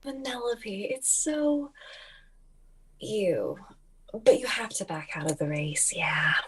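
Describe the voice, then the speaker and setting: A woman voicing a condescending, mocking mean-girl child racer in an animated film table read. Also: mid-range voice, takes it slowly, slightly rough.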